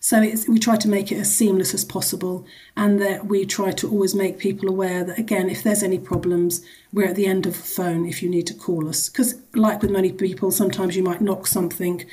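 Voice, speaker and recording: A woman with a soft-edged, mellow tone speaks as an interview guest. Her intonation stays fairly level as she explains things conversationally, qualifying and repeating phrases as she goes. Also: medium pitch, little pitch movement, a little fast, few pauses, low-quality recording. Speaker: charity executive, interview guest.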